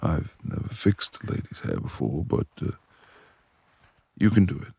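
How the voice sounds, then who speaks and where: Male actor as a reclusive beastly lord, extremely low voice, heavy rasping fry, formal and commanding yet tender, romantic audio roleplay. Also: sing-song, normal speed, dark tone, no echo, exaggerated voice. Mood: coaxing. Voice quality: gravelly, breathy. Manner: formal old-fashioned phrasing, commanding.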